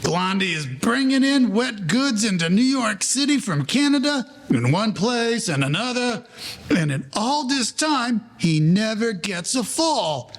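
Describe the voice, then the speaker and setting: A man's voice: high-pitched, fairly bright tone, full character voice. A man playing a wry 1940s Broadway storyteller, narrating a radio play in colloquial present tense.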